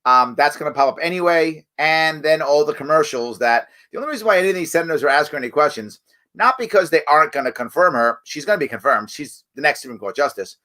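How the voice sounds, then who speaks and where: A man hosting a live stream, talking casually and reading viewer comments, his voice raspy and a bit hoarse from weeks of campaigning. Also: on the high side, quick pace. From New York State. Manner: conversational, animated, frequent fillers and restarts. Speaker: show host.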